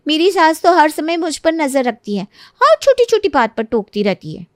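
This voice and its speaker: Entitled young wife for cartoon fable: high voice, lazy, breezy, complaining manner. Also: female voice, very animated, moderate pace, dry recording, clean studio sound. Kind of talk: Hindi animated moral story acting.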